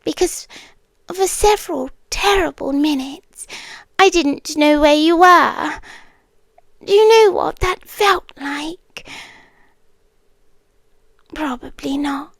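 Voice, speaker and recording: A British woman playing a possessive, centuries-old nonhuman girlfriend in an intimate ASMR roleplay, speaking softly, half in whispers, with wry courtesy. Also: high-pitched, no room sound, heavily acted. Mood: calm, menacing. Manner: soft, breathy, half-whispered, deliberate, wryly courteous.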